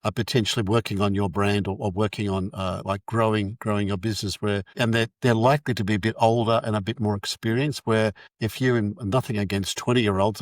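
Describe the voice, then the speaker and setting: A man's voice: on the low side, no echo, studio quality. A middle-aged man hosting a podcast, chatting casually with frequent restarts and fillers. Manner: rambling, many restarts and fillers. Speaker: podcast host. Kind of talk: casual interview chat.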